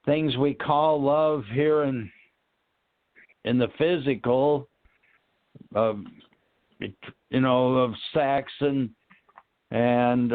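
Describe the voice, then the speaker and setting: A man speaking in a halting, reflective way, searching for words and trailing off as he discusses spiritual ideas, over a narrow phone-like connection. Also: mid-range voice, clear, resonant tone, no room sound. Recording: narrow, tinny phone-line sound.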